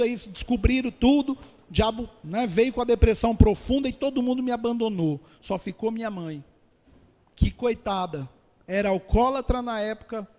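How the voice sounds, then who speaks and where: A man with a Brazilian Portuguese accent, a pastor giving his testimony to a congregation, often calling them dear ones and brothers and slowing down for emphasis. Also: high voice, a little mellow. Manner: addresses listeners as dear ones and brothers, dramatic slowdowns. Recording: long hall reverb.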